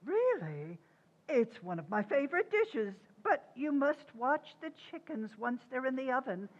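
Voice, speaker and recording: Woman playing a fussy, high-pitched, prying mother-in-law. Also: sing-song, unhurried, full character voice. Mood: nosy, patronising. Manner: sing-song, falsely sweet needling. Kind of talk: old-time radio sitcom acting. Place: community theatre stage radio recreation. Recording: dull, lo-fi stage recording.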